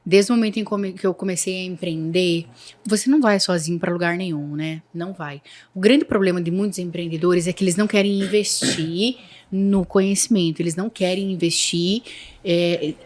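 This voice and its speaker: A woman's voice: lowish voice, fairly even intonation, normal speed, darkish tone. A woman with a Santa Catarina accent speaks as an interview guest. She talks earnestly, circling back and restarting her sentences as she goes. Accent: Santa Catarina Brazilian Portuguese. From Santa Catarina, Brazil. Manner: earnest, with many fillers and restarts. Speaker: guest entrepreneur. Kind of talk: casual interview talk.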